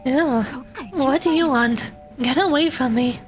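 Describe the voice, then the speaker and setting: A woman's voice: high voice, unhurried. A woman voicing a female bystander character in a scripted video game scene. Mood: disgusted. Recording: music behind the voice.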